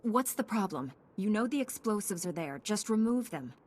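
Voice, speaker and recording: Woman playing a composed heroic knight, medium pitch. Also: moderate pace, lightly acted. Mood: composed, resolute. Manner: calm, formal, self-assured. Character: composed, dutiful young female Jedi knight. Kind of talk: video game protagonist dialogue.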